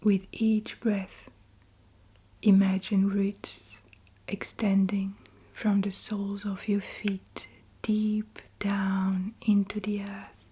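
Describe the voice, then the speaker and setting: A woman leading a guided meditation in a breathy whisper, in deliberate phrases with long pauses between them. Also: mid-range voice, completely flat delivery, warm tone.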